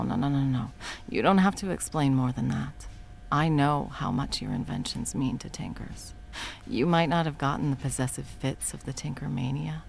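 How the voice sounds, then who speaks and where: A woman's voice: very deep voice, creaky, slight background noise, full character voice. A woman in her thirties voicing a profane, blunt woman supervillain in an audio roleplay, mocking at first and then coaxing with pet names. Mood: tender. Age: 30s. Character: sharp-tongued woman supervillain, long-time arch-enemy.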